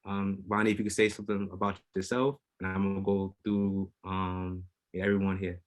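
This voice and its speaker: Male panel host, somewhat low voice, hesitant delivery with frequent fillers. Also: level tone, moderate pace. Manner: hesitant, full of ums. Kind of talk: hosting an online panel.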